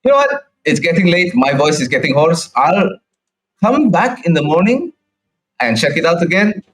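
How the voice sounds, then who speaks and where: A man voicing an earnest, fussy herbalist shopkeeper and zealous protester in a tabletop game, speaking in a halting, plaintive way. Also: a little high, sing-song, voice pushed up. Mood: resigned.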